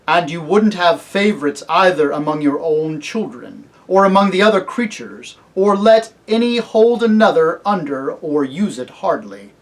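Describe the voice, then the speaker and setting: A man voicing a great talking lion in a storybook, kingly and solemn, with a grave, measured, commanding manner. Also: fairly high pitch, big pitch swings, voice pushed up, poor audio. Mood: grave, questioning. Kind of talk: storybook character reading.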